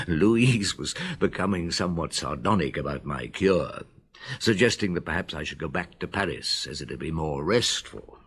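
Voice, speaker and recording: A reflective detective muses over a case in a radio drama. His voice is very deep, and his pitch swings widely as he thinks aloud. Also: animated, fairly bright tone, breathy edge, exaggerated voice. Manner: musing, measured. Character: reflective veteran detective narrating.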